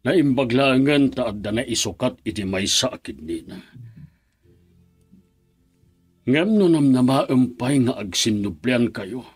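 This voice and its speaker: A man with a Filipino accent acting a stern, unforgiving middle-aged father in a radio drama, cold and raspy. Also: medium pitch, animated, normal speed. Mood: firm, bitter. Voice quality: raspy, gravel-edged. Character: stern, unforgiving father protecting his daughter.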